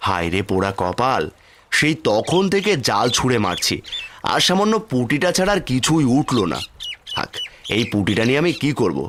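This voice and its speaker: A man's voice: medium pitch, sing-song, moderate pace, a bit bright, slight background noise. A man voicing a poor, mild village husband and father in a cartoon fairy tale, placating and self-pitying. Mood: weary, despairing.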